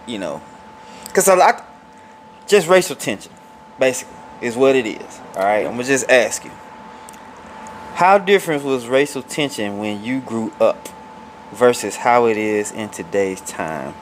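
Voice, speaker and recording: A man's voice: medium pitch, animated, a little slow, long pauses. Podcast host, man in his forties, faint hum. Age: 40s. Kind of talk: casual conversation.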